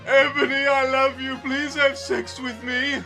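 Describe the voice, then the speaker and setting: A woman voicing a whiny male villain henchman who is lovesick, with a drawn-out wailing delivery. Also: high voice, little pitch movement, sharp tone, faint music behind, pitched above the performer's own voice, heavily acted. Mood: pleading.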